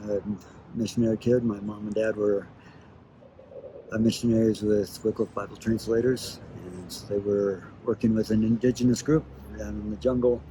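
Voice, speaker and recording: A man from Ecuador speaking casually as a missionary in an interview, haltingly searching for words. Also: fairly low pitch, steady tone, mellow, slight background noise, low-quality recording. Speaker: missionary and Bible translator. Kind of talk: casual promo video interview.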